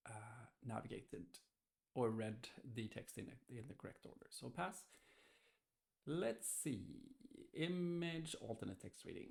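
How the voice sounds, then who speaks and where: A man thinking aloud hesitantly as he gives a casual software testing walkthrough. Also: mid-range voice, vocal fry. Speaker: software tester demonstrating. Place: indoors, screen-recorded voice-over.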